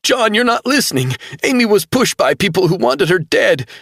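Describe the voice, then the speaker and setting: A man voicing a grief-stricken young man who pleads through sobs, high-strung and scared. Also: very animated, normal speed, fairly bright tone, husky, dry recording, clean studio sound, full character voice. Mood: distraught pleading. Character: distraught young man, grieving hacker.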